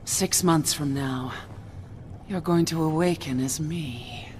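Game villain: sinister, possessive female mastermind, very low and extremely slow, soft, chilling. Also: slight background noise. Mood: sinister, tender. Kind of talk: dramatic video game villain acting.

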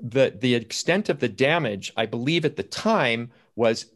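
A man's voice: medium pitch, animated, a little slow, fairly bright tone. A man in a conversational panel discussion, speaking indoors on a video call. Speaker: panelist from the play's team.

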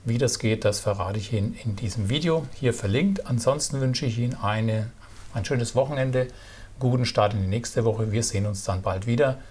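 A middle-aged man giving casual stock market commentary to camera, relaxed and halting, with many fillers and restarts. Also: mid-range voice, slight background noise. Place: indoor studio with virtual backdrop.

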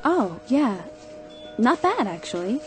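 An actress playing a hesitant young office coworker who apologizes and asks about a file. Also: female voice, fairly high pitch, sing-song, takes it slowly, faint music behind. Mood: timid.

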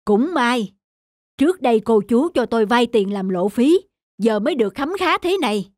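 A man voicing a genial, boastful, newly rich village neighbour in an animated folk tale, expansive and self-satisfied. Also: very high voice, normal speed, no room sound, clean studio sound, soft background music. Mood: pleased.

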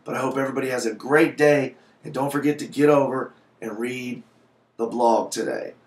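A man's voice: mid-range voice, fairly even intonation, moderate pace. A man in his thirties speaking as a video blogger into a webcam microphone in a small home office. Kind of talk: casual vlog talk with passage read aloud. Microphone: webcam microphone at desk distance. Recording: roomy, echoey webcam sound.

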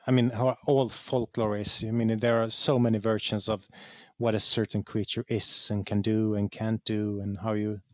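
A man giving conversational explanations as an interview guest, halting and correcting himself as he searches for words. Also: lowish voice, little pitch movement, no room sound, studio quality. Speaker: guest, game writer.

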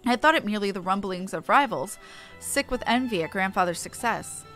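Woman doing a polite earnest little guy from a fantasy game, old-fashioned formal wording, bright voice. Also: medium pitch, animated, faint music behind, lightly acted. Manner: formal, archaic, measured phrasing. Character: earnest, formal small grandson of an airship founder.

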